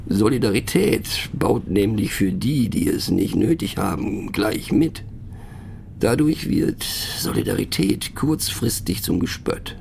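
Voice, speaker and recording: An actor playing a pensive, mocking narrator in a radio play, musing rhetorically on abstract words and addressing the listener in wry asides. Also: male voice, low-pitched, vocal fry, darkish tone, a little hiss or hum, full character voice. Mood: wry, confiding.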